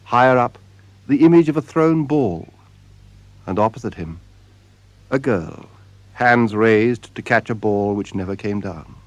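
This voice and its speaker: A man narrating a radio drama in a solemn, measured way, with deliberate dramatic pauses. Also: fairly low pitch, no echo. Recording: radio drama with music behind. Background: music.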